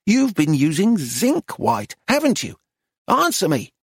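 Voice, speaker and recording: A woman's voice: medium pitch, huge pitch swings, unhurried, breathy edge, no room sound, studio quality, voice pushed up, full character voice. A man voicing a bossy, anxious woman art dealer who scolds and presses with demanding questions in a story reading. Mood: scolding, demanding.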